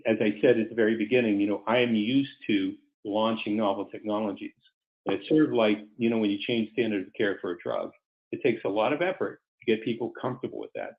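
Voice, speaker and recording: A middle-aged or older man, a company executive, speaking earnestly and deliberately to investors on a conference call, formal but halting, often repeating words. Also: fairly low pitch, vocal fry. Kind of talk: semi-scripted remarks to investors on a conference call. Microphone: conference call line. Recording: dull, lo-fi conference call audio.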